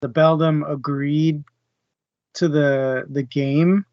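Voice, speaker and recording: A man's voice: on the high side, flat delivery, slow pace, clear, resonant tone, dry recording. A man co-hosting a casual book discussion, talking through his thoughts in a conversational way. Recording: dry, muffled online audio.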